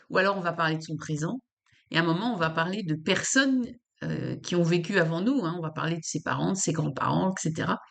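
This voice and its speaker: A woman with a fairly low-pitched voice explains psychology in an informal talk to camera. She speaks hesitantly, with many fillers, repeats words, and restarts her sentences. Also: creaky. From France.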